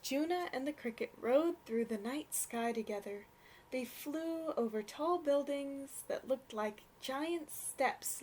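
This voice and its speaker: Young woman, storybook narration, expressive, high-pitched, slow and gentle. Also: a little hiss or hum, low-quality recording. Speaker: children's story reader. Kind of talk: read-aloud storybook narration for children.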